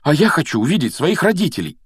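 A man's voice: mid-range voice, animated, normal speed, darkish tone, no room sound, studio quality, pitched above the performer's own voice, lightly acted. A man voicing a stubborn, earnest young schoolboy in a storybook, with a boyish manner. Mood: heated. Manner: hesitant or heated, boyish.

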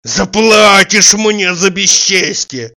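A man voicing a greedy, abusive, aggrieved bully in an audiobook, ranting crudely and shouting. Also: fairly high pitch, expressive, normal speed. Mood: vengeful. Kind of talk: dramatised audiobook dialogue.

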